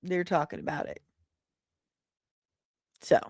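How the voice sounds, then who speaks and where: A woman's voice: on the low side, steady tone, slow, darkish tone, no room sound, studio quality. A woman hosting a casual entertainment livestream, chatting playfully and teasingly, often repeating phrases for emphasis. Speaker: livestream host. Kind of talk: casual livestream chat about entertainment news.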